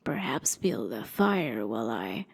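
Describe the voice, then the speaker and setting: A woman's voice: rumbling low, big pitch swings, unhurried, creaky, breathy edge, pitched below the performer's own voice, full character voice. A woman voicing a feeble, pleading old woman traveler in a fantasy ASMR roleplay, with a hesitant way of talking.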